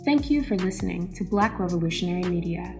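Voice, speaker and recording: Brief: female announcer, slightly low pitch, scripted closing credits read over clear background music. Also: lively intonation, quick pace.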